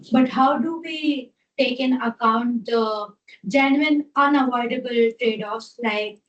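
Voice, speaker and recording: A woman in the audience politely and earnestly asking a question after a talk. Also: on the high side. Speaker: audience member. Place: lecture hall. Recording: dull sound, from far off.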